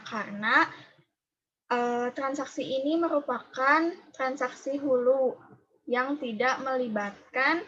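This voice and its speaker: A young woman giving an explanatory student presentation in a halting way. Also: fairly high pitch, fairly bright tone. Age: young adult. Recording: dull, lo-fi microphone sound.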